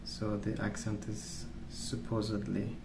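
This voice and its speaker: A man speaking softly in a rambling ASMR style, hesitant and thinking aloud as he goes. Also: lowish voice, monotone, slow pace, gravelly. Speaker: ASMR video creator. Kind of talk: soft-spoken ASMR rambling while colouring. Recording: roomy, distant mic, noisy background.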